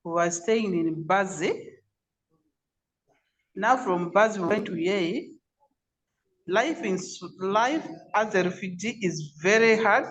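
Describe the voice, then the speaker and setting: A man's voice: sits high, takes it slowly, pauses often, fairly bright tone, poor audio. A man with a Ugandan accent telling a personal story in an online meeting.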